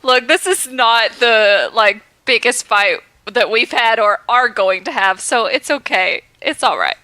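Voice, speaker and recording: A woman's voice: fairly high pitch, unhurried, sharp tone, no room sound. A young woman co-hosting a podcast, chatting casually, enthusiastic and blunt, often laughing. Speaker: podcast co-host. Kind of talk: casual chat.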